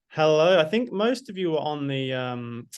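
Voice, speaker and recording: A man's voice: fairly high pitch, expressive, moderate pace, clear, resonant tone. A British man giving a webinar presentation over a video call as a copywriter, hesitant, with frequent fillers and restarts. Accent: non-Mancunian British. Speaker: copywriter, guest speaker.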